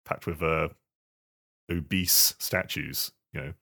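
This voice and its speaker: Man, very deep pitch, bright crisp-edged tone, hesitant phrasing, casual gaming podcast co-host. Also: fairly even intonation. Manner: hesitant, halting delivery with repeated words. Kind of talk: casual video game podcast chat.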